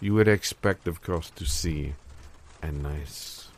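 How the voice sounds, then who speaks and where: An actor playing a grandiose, romantic French restaurateur with a pompous, halting delivery and French accent in an improvised comedy sketch. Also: male voice, extremely low pitch, heavily acted. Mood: exasperated, lofty. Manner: theatrical, pompous, halting and dramatic. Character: grandiose, romantic French male restaurateur scheming with business partner.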